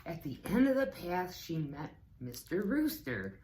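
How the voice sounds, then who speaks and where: An American woman reads a picture book to small children at a slow pace. Her voice sits a little low and swings widely as she turns aside to talk to her listeners. Faint noise sits behind her. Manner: expressive, pausing to talk to young listeners. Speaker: teaching assistant reading to children. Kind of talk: read-aloud children's story time.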